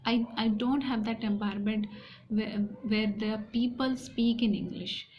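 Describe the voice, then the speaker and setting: A woman vlogger with an Indian accent talks to her viewers at a very slow pace in a slightly high voice. Her English is halting and hesitant, with phrases often repeated. The recording is a little dull and lo-fi. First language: an Indian language alongside Hindi. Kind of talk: personal talk to viewers about learning English. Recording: dull lo-fi sound, faint background noise.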